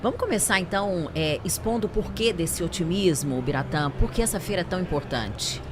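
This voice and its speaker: A woman's voice: lowish voice, lively intonation, a little fast. A woman with a Brazilian accent hosting a conversational podcast interview. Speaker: podcast host. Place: convention centre trade fair. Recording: faint event hall background noise.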